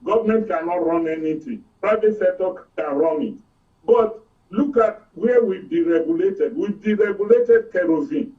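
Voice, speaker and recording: A man with a Nigerian accent giving explanatory commentary as an expert guest, in short, emphatic statements. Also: moderate pace. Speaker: oil and gas expert guest. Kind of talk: explanatory political and economic commentary. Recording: distant, dull low-fidelity sound.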